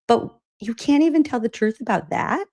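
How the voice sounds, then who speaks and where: A woman's voice: on the high side, animated, normal speed, a little mellow. Sarcastic woman hosting political commentary. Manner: sarcastic, incredulous; chatty, laughs often. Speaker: host.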